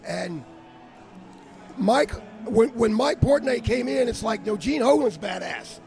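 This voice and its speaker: A man interviewing a band outdoors at a noisy event, talking loudly and chattily with music behind. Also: very high-pitched, expressive, takes it slowly, gravelly. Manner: loud, chatty, name-dropping. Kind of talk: casual interview.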